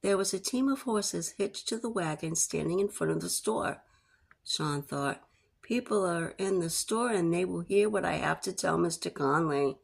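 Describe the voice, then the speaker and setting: Female storyteller for read-aloud book narration, lower-pitched voice with noticeable creak. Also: expressive, normal speed.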